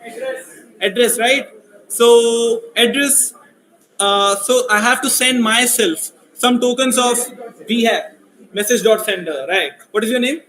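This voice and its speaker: A man running a live coding workshop, speaking loudly and asking his audience questions. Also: very high voice, unhurried, reverberant, a little hiss or hum, low-quality recording. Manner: loud voice, asks the audience questions. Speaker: workshop instructor. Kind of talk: live coding workshop, mixing English and Hindi.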